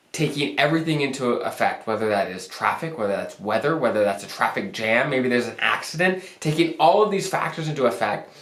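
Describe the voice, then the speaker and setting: A young man talks casually to his viewers, upbeat and chatty, his voice pushed deeper than usual by a lingering cold. His pitch sits in the middle for a man. The sound carries the ring of an echoey room. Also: fairly bright tone. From Arizona, US. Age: young adult. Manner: upbeat, conversational, self-joking. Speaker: financial planner hosting a channel. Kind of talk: casual advice talk to camera.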